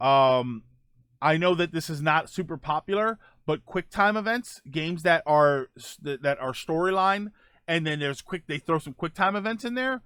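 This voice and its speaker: A man with a slightly high voice, recorded close and dry. He hosts a gaming show in a casual, conversational style, rambling a little as he thinks aloud and answers viewer comments. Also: lively intonation, normal speed, fairly bright tone, clean tone, no echo. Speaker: host of a gaming show, streamer.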